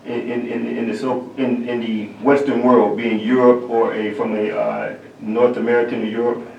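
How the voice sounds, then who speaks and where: A male drummer being interviewed, with a gravelly voice at an ordinary pitch, who gropes for words and restarts often before landing on his point. He is heard in an echoey room, somewhat away from the microphone, with faint background noise. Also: level tone, highs cut off. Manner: thoughtful, halting, many fillers. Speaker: drummer, guest. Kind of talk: interview answers. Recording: roomy sound from a distant mic.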